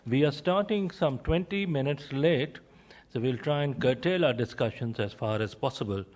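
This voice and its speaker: A man's voice: mid-range voice, darkish tone. Man chairing a panel. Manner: measured, formal, pauses between list items. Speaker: session chair. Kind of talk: formal conference introductions.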